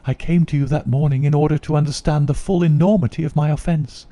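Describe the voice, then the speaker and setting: A man's voice: fairly high pitch, steady tone, fast talker, mellow, dry recording, voice pushed up, close to an everyday voice. A man voicing a troubled young noblewoman who tells her story in an earnest, confessional way. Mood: remorseful.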